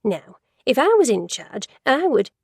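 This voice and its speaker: A woman's voice: fairly high pitch, big pitch swings, unhurried, vocal fry, a little mellow, slightly rough, no echo, clean studio sound, pitched above the performer's own voice, heavily acted. A woman voicing a gossipy, judgmental older woman who works in an office, rambling and easily sidetracked in a storybook reading. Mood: indignant.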